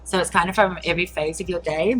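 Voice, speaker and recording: A woman's voice: on the low side, fairly even intonation, fast, music in the background. A woman in her thirties with a New Zealand accent, chatting as an interview guest with many fillers and frequent laughs. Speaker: guest, entrepreneur. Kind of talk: casual interview talk.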